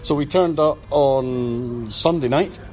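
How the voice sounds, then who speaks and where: A man's voice: medium pitch, takes it slowly, darkish tone. A man hosting a casual podcast chat, recorded on location at a hotel breakfast. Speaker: podcast host.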